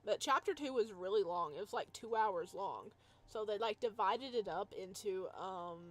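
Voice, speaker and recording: A woman chatting casually on a livestream as she plays a game, rambling in a giggly, playful way. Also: medium pitch. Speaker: live streamer playing a visual novel.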